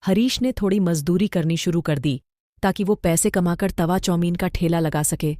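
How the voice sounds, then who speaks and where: A woman tells a moral story in a low, mellow voice with a clear, steady delivery. The recording is dry and close. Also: moderate pace, no echo. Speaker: narrator. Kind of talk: scripted story narration.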